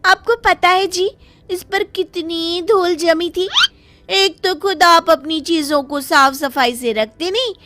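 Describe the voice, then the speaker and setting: A woman voicing a naive, earnest young village wife in an animated story. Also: extremely high pitch, slow pace, noisy background. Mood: innocent, aggrieved. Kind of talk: animated moral story dialogue.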